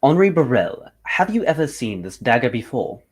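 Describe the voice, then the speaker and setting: A man's voice: medium pitch, sing-song, moderate pace, breathy edge. Guy playing a confident theatrical detective, formal, voice swings up and down a lot, old radio mystery. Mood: assured. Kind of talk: old-time radio drama acting.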